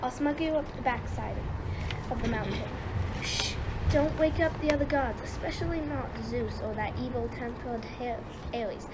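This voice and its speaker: A woman's voice: a little high, moderate pace, lightly acted. A woman playing a cautious, haughty goddess in an amateur radio play, with a conspiratorial manner. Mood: conspiring. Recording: noticeable background noise.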